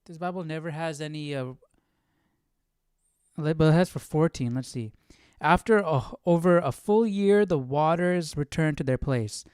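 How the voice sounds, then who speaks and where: A man hosting a live study stream in casual chat, reading aloud and thinking out loud with informal, slangy questions. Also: on the high side, normal speed, clear, resonant tone, no room sound, clean studio sound.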